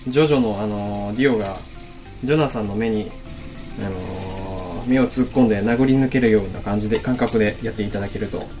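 A man's voice: lowish voice, fast, mellow, poor audio. A man co-hosting a radio show, giving casual, playful cooking commentary with theatrical exaggeration. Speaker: semi-regular radio co-host. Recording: prominent background music under voice.

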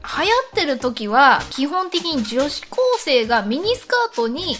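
A woman's voice: sits high, sing-song, a little slow, a bit bright, soft background music. A woman co-hosting a podcast in casual, chatty conversation, readily agreeing with her partner. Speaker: podcast co-host. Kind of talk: casual chat with a co-host.

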